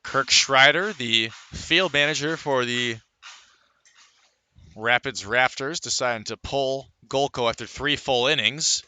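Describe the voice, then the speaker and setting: A man calling live baseball play-by-play on radio, filling in player stats between pitches. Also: a little slow, sharp tone, clean tone. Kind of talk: live sports commentary.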